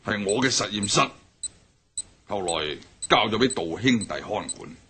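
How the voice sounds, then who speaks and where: An actor with a Hong Kong Cantonese accent playing a stern older gang boss in a radio drama, gruff and interrogating. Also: male voice, on the low side, lively intonation, a little slow, a little hiss or hum. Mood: probing. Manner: gruff, interrogating, flares into shouting.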